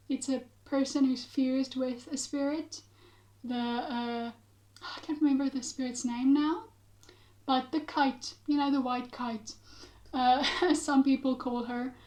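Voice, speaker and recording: Raspy, high-voiced young woman gushing. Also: a little mellow. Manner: gushing, chatty, with many fillers. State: hoarse from being sick. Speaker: show reviewer. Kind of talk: casual spoken review.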